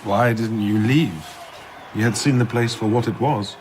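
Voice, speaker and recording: An actor playing a sceptical gentleman in a radio drama, putting probing questions in a measured, incisive way. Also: fairly low pitch, slight background noise, close to an everyday voice.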